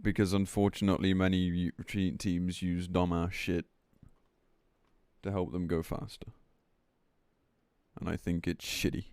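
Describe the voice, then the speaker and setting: A man's voice: low voice, little pitch movement, unhurried, pauses often, darkish tone, smooth, no echo. A man speaking as a game streamer in a casual livestream rant, blunt, sweary and exasperated as he reads and reacts. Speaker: game streamer commenting on news.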